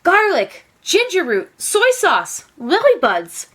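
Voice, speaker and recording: A woman's voice: very high-pitched, wildly swooping pitch, slow pace, fairly bright tone, pitched above the performer's own voice, full character voice. A woman voicing eager, curious villagers who exclaim their lines in a storybook read-aloud. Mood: excited.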